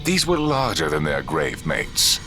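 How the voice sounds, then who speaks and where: Male narrator for space horror drama: low-pitched, solemn, expressive range, music bed. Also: unhurried. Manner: ominous, solemn. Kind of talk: dramatic scripted sci-fi audio drama narration. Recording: loud music under the voice. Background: cinematic music.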